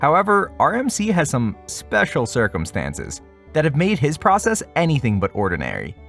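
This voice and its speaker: A man's voice: on the high side, wildly swooping pitch, moderate pace, vocal fry, a bit bright, faint music behind. A man narrating a scripted review as host, with casual, wry and sarcastic asides.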